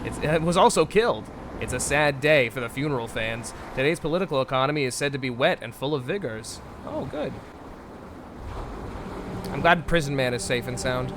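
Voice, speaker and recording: Animated, swearing man playing a game. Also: mid-range voice, normal speed, noisy background. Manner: rambling, swearing, jokey, animated. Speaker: gameplay streamer. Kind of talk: casual gameplay commentary. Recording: loud game music behind.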